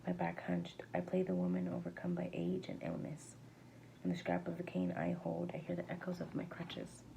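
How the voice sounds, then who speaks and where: Woman reading a book out loud, super gravelly fry, mellow, trips on words a bit. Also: fairly low pitch, muffled recording. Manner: halting, stumbles over words and rereads. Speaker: amateur reader. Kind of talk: reading a verse novel aloud. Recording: faint background noise.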